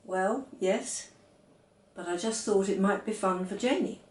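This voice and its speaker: A woman reads as an easygoing storybook father, mild and a little hesitant, gently placating his family. The delivery is unhurried, in a room with clear echo. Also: medium pitch, lightly acted. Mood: sheepish. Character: fond father, mild, teasing and easygoing man.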